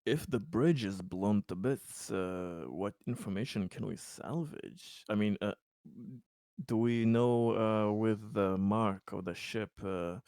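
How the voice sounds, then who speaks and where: A man's voice: on the low side, slow, a little mellow, no room sound, clean studio sound. A man playing a tabletop game, talking casually with the other players.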